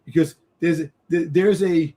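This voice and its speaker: Higher-voiced man bantering on a panel. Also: fairly even intonation, normal speed, mellow. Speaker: wrestling show panelist. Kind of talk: casual banter and fan review.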